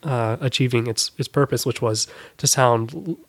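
A man's voice: medium pitch, normal speed, no echo. A young adult man talking casually as a guest on a podcast.

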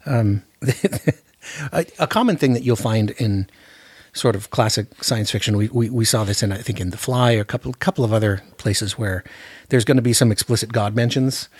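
A man's voice: lowish voice, moderate pace, husky, no room sound. A man hosting a casual film discussion, often repeating words as he works out his thoughts. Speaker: podcast host.

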